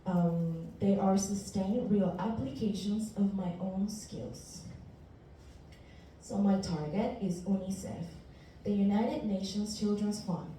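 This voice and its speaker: In a reverberant room, picked up from some distance with faint noise, a woman in her twenties gives a prepared student talk. She is from Ecuador and speaks Spanish natively. Her voice is very dark and relaxed, and her delivery is earnest and deliberate. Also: mid-range voice. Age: 20s. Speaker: university student presenter. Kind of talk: prepared class presentation.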